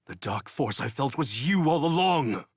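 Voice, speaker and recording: An actor playing a stern, indignant army captain in a fantasy video game. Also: male voice, mid-range voice, animated, moderate pace, breathy edge, dry recording, studio quality. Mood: shocked, indignant. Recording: faint background noise.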